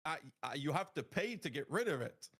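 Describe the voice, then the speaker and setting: A man chatting on a podcast, telling animated gaming stories and laughing often. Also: on the high side, brisk. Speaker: podcast guest. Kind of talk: casual podcast chat.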